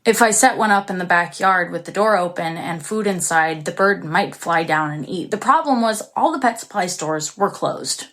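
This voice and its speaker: A woman's voice: lowish voice, lively intonation, normal speed, hardly any pauses, fairly bright tone. An actress playing an isolated, anxious young woman who recounts her story in an intimate, confessional way for an audio drama. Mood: worried. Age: young adult. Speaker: actress performing a monologue. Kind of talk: dramatic first-person audio drama narration.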